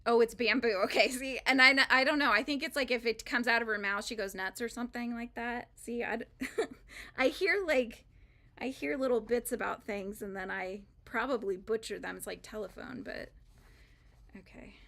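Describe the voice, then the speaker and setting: Chatty crochet lady rambling along, bright voice with lots of vocal fry. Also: fairly high pitch, normal speed. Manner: chatty and rambling, with frequent fillers and laughs. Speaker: crochet livestream host. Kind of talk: casual live chat while crocheting.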